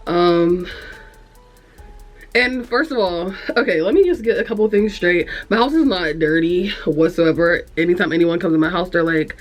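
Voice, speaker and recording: A woman's voice: lowish voice, normal speed, lo-fi sound, soft background music. A young woman with a congested, nasal voice, rambling frankly to camera in a room at home, easily distracted and cursing. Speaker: vlogger. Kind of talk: casual chat to camera.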